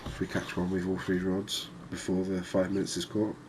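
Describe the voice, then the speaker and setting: A man giving relaxed, unhurried ASMR-style commentary on a video game, musing conversationally as he goes. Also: low-pitched. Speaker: gaming video host. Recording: clearly noisy background ambience.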